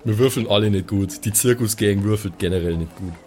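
A man's voice: on the low side, brisk, dark tone, slight background noise. A man chatting casually as a player in an improvised tabletop role-playing show. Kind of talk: casual improvised game chat.

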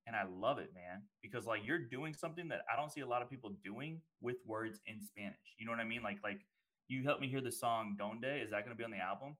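Bright-voiced, hyped-up podcast host. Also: male voice, mid-range voice, quick pace, rough recording. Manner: enthusiastic and affirming, peppered with "bro" and "man". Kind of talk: casual podcast interview.

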